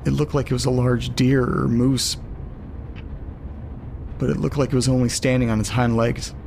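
Faint noise sits behind an uneasy, lonely man played by an actor in an audio drama. He reads eerie letters aloud as a monologue, in a middle-pitched voice. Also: level tone, moderate pace, creaky, a little mellow, lightly acted. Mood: somber, recounting. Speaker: narrator.

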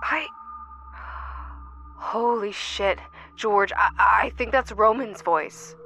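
A woman's voice: mid-range voice, takes it slowly, sharp tone, slight background noise. A woman playing a wry, pragmatic field investigator in a naturalistic audio drama, speaking informally. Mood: alarmed.

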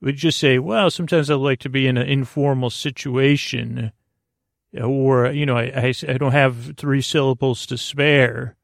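Creaky-voiced man rambling gently through a monologue. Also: medium pitch, steady tone, dry recording, studio quality. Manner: meandering, halting, with stammered false starts and repeated words; whimsical, self-deprecating silliness. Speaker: host of a bedtime story podcast. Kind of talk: rambling sleep-podcast monologue.

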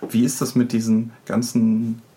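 A man's voice: mid-range voice, completely flat delivery, normal speed, dark tone. A man hosting a podcast, asking interview questions in a casual, conversational way.

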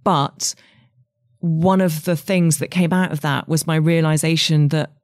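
A woman's voice: sits low, steady tone, measured pace, darkish tone, dry recording, studio quality. A woman in her forties with an English accent, a writer speaking candidly and thoughtfully as an interview guest. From England. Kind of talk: reflective interview conversation.

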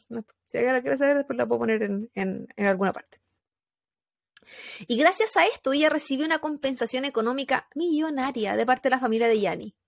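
A woman with a Chilean accent telling a true crime story in casual podcast chat, animated, with fillers and laughs. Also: high voice, fairly quick, creaky, no room sound, clean studio sound.

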